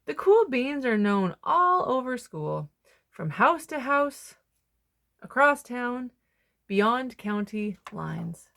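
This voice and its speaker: A woman reading a children's picture book aloud, expressively, with dramatic pauses and emphasis. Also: on the high side, very animated, vocal fry, sharp tone. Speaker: storybook reader.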